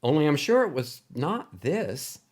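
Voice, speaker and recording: A man voicing a polite, hesitant man in his thirties, halting and apologetic, in a story. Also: fairly high pitch, big pitch swings, pitched above the performer's own voice. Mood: sheepish.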